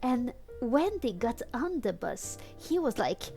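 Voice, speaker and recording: Slow-paced, giggly woman reading fan fiction aloud. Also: a little high, sing-song, creaky, mellow, soft background music. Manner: giggly, easily cracked up, acts out dialogue. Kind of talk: casual comedic read-aloud with amused commentary.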